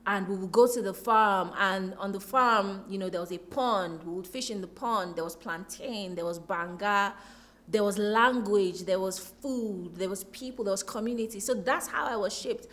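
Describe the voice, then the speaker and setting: Woman, Nigerian accent, medium pitch, interview guest, reflective, expressive, repeats for emphasis. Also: normal speed.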